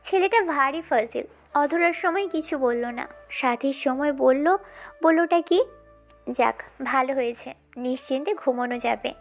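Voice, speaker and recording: A woman playing a commanding, watchful young female boss in a romantic audio story, brisk and authoritative. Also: sits high, faint music behind. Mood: musing.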